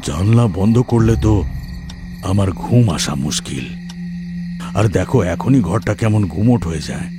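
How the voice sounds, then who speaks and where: A man voicing a mild older male housemate in an audio horror story, unhurried, drowsy and easygoing. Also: deep voice, lively intonation, music in the background. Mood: reluctant, sleepy.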